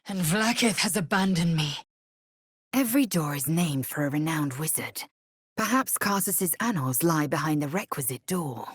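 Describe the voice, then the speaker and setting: An actress voicing a fierce, proud githyanki warrior woman in a video game, husky and breathy, with a clipped, imperious, disdainful delivery. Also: lowish voice, a bit bright, no room sound, studio quality, exaggerated voice. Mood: bitter, wounded, then terse, watchful. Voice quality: husky, raspy, breathy edge. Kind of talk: video game character voice acting.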